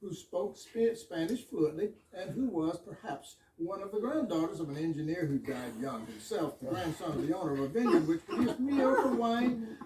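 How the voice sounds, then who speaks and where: Rambling shy fire chief acted by an amateur player, stage comedy, really animated, echoey and far from the mic. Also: mid-range voice, close to an everyday voice. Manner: long-winded run-on storytelling. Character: bashful, rambling fire chief telling absurd tales. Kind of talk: amateur stage readers' theater of absurdist comedy. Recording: echoey room, distant mic.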